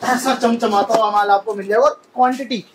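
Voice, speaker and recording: A man's voice: sits high, steady tone, a little fast, noisy background. A man vlogging a shop visit, chattily prompting the seller and echoing his claims, in a small basement stockroom. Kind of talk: casual interview on a shop visit.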